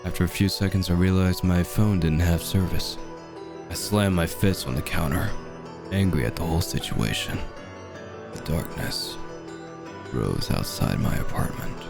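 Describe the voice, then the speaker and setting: A man reads a creepypasta in a very deep voice with a gravelly edge. His delivery is measured and dramatic, built for dread. Dark music plays clearly behind him. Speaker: horror story narrator. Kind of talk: scripted creepypasta narration in the first person. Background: dark background music.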